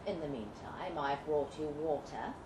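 A woman's voice: low voice, animated, a little slow, voice pushed down. A woman voicing a coolly cruel, mocking male villain with formal old-fashioned diction in a melodramatic story reading. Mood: mocking threat. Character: suave, menacing Victorian villain lord, coolly cruel male captor. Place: indoor live literary event. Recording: roomy, noisy live venue recording.

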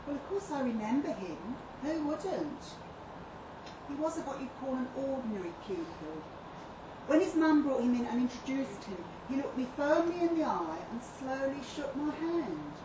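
A woman playing an earnest, well-spoken primary school teacher, measured and thoughtful, recorded at a live reading. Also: sits high, sing-song. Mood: earnest, reflective. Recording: distant echoey live venue, noisy room.

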